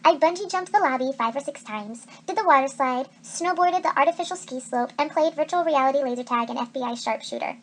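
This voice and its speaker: A woman reading a children's adventure novel aloud as a teacher would, with expressive narration. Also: high voice, a little fast, hardly any pauses, slight background noise.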